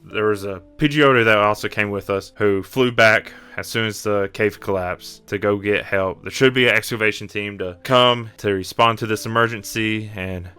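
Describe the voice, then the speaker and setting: A man's voice: on the low side, normal speed, hardly any pauses, pushed and bright, clean tone. A man voicing a weary, kindly creature guide in a tabletop roleplay, sighing and matter-of-fact. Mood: resigned, practical.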